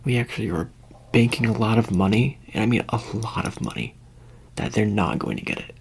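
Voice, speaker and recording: A man doing an improvised ASMR roleplay in whispers and soft speech, rambling and hesitant with a deadpan delivery, close to the microphone. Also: lowish voice, brisk, gravelly, husky.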